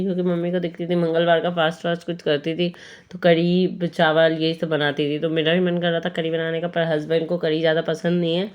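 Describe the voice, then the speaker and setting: A young woman, hoarse from a cold, chatting casually as she narrates her home cooking vlog. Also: on the low side, a little mellow. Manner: chatty, homely, meandering. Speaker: cooking vlogger.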